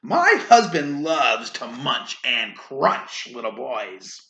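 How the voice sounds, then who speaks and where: Storybook giantess wife, acted by a woman: bossy, kind underneath, animated pitch, echoey recording. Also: takes it slowly, heavily acted. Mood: threatening. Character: big bossy giantess wife, flustered but secretly kind. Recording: roomy echo, faint noise.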